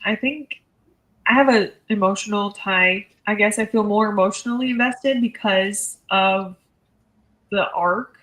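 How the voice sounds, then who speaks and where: A woman's voice: on the high side, rough recording. Reflective woman in casual book chat. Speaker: book discussion panelist.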